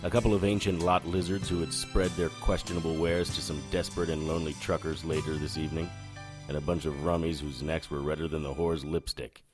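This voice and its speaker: A man's voice: rumbling low, little pitch movement, brisk, faint music behind. An actor playing a weary, hard-drinking, sardonic male investigator in a noir audio drama. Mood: wry, weary.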